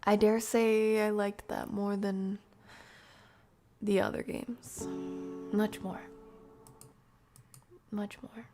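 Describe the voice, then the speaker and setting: A young woman streaming a horror game, chatting to viewers in a soft, breathy half-whisper. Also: medium pitch, level tone, extremely slow, long pauses, faint music behind. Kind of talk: casual let's-play chat.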